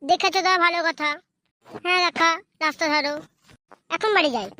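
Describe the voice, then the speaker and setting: A man's voice: extremely high pitch, a little fast, sharp tone, exaggerated voice. A man voicing a brash, irritable young village man in a comedy cartoon, foul-mouthed. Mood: explaining. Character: brash young village man, runaway son back with his bride. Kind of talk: comedy cartoon dubbing in colloquial village speech.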